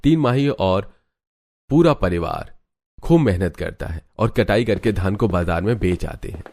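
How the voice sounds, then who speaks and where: A man's voice: deep voice, lively intonation, a little slow, darkish tone, dry recording, studio quality. A man narrating a scripted cartoon story.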